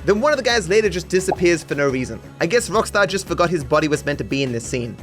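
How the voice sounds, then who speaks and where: A man's voice: on the high side, sing-song, fast, a bit bright. A man reading scripted comedic commentary on a video game in a dry, mock-serious, sarcastic way. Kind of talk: scripted comedic narration over game footage. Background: music under the voice.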